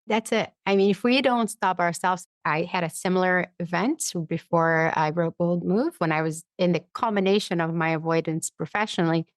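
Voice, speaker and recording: A woman guest in a conversational interview, explaining ideas through personal examples and acting out her inner dialogue in an animated way. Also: fairly low pitch, normal speed, hardly any pauses, no room sound. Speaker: podcast guest, psychologist and author.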